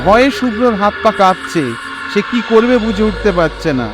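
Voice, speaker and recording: A man narrating a scripted horror story in an expressive, suspenseful, dramatic way. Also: high voice, normal speed, music in the background.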